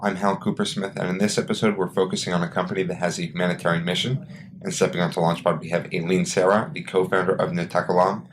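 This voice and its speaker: A man hosting a podcast, opening the show in a smooth, announcer-like way and asking his guest questions. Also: low voice, fast. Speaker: podcast host. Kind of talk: scripted podcast intro and interview questions. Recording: noisy recording with steady hiss.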